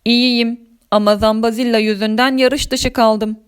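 A woman's voice: on the high side, steady tone, moderate pace, smooth, natural voice. A woman voicing a friendly, loyal green monster race driver in a children's story, spirited and matter-of-fact.

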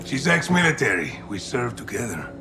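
An actor playing a proud, hot-tempered Mexican army commander in a game, intense and bitter, with a Mexican accent. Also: male voice, mid-range voice, sing-song, normal speed. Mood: accusing. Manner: intense, bitter, switches into Spanish. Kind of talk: cinematic military game drama. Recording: dramatic music underneath.

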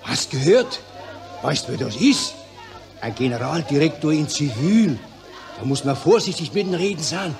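A man's voice: fairly high pitch, sing-song, moderate pace, gravelly, pauses often. An actor playing a cautious, naive Viennese man in the street in a satirical 1940s radio play. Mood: wary, awed. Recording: 1940s radio recording with crowd.

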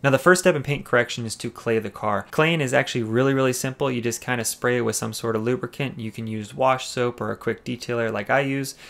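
A man's voice: medium pitch, steady tone, quick pace, gravelly, hardly any pauses, a bit bright. A man narrating a car detailing video as voice-over, explaining each cleaning step and giving tips. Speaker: car detailing channel host.

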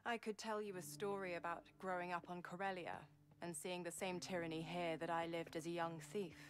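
A woman's voice: fairly low pitch, level tone, brisk, slight background noise, close to an everyday voice. An actress playing a shrewd, self-assured woman from a crime syndicate in a video game. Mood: assured, persuasive.

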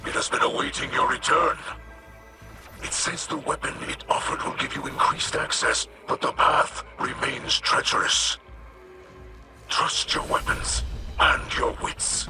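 A man playing a gentle, scholarly alien ally in a video game, speaking in a hushed, breathy, measured and formal way. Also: mid-range voice, music in the background. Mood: solemn guidance. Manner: measured, formal, hesitant, wonder-filled. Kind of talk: scripted video game dialogue.

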